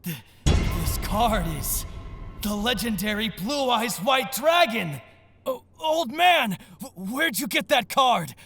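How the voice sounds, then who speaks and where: An actor playing an arrogant, scornful rich teenage card duelist with a condescending drawl. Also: male voice, very high-pitched, sing-song, slow, vocal fry, a bit bright, slightly rough, dry recording, faint music behind, full character voice. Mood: astonished, greedy. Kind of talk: audio manga dramatization.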